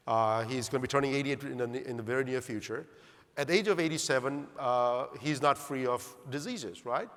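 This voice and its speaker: A middle-aged man from Zambia giving an animated conference talk, often putting rhetorical questions to the audience. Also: mid-range voice, a little fast. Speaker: speaker at a longevity conference. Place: large conference hall. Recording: long hall reverb.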